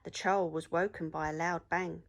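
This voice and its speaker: A woman teacher reading a story aloud to children in an expressive storytelling style. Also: fairly low pitch, moderate pace, creaky.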